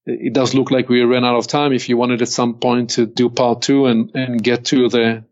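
Older Hebrew-accented male consultant, unhurried and reflective, on a slightly muffled remote line. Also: mid-range voice, level tone, darkish tone. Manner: measured, deliberate, slows to stress points. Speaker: podcast guest, executive consultant. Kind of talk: reflective interview talk.

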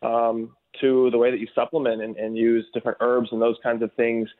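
Man, wellness coach guest, earnest, flat voice, tinny remote line. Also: mid-range voice, fairly quick. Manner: earnest, full of fillers. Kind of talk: casual interview talk.

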